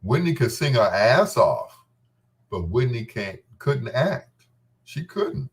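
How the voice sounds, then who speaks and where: A man's voice: medium pitch, very animated, slow. A man hosting a talk show with a casual, unscripted monologue, gossipy and emphatic, often repeating his phrases. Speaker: host of a bedtime talk show.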